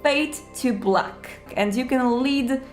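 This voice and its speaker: A woman's voice: high voice, takes it slowly. A woman hosting an explanatory advice video in a chatty, emphatic way, stretching out key words. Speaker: video host giving roleplay advice. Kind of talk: explanatory talk to camera. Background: background music.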